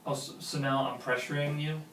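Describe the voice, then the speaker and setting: A man's voice: mid-range voice, little pitch movement, a little fast, a bit bright, lightly acted. An actor playing a lovesick, pushy man pleading earnestly with his best friend in naturalistic film dialogue. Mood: wounded sarcasm. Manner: earnest, insistent. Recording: roomy, distant mic with faint noise.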